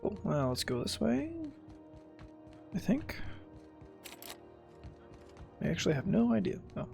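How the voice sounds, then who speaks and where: A woman with a gravelly voice chatting casually and muttering asides while streaming a video game. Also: medium pitch, big pitch swings, a little slow, darkish tone. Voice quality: heavily creaky, gravelly voice. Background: video game music.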